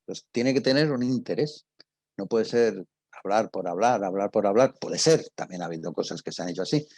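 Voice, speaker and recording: An older man with a husky voice and a Castilian Spanish accent, informally answering students as a radio drama teacher in a conversational, storytelling way. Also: fairly low pitch, expressive, fairly quick, darkish tone, no room sound.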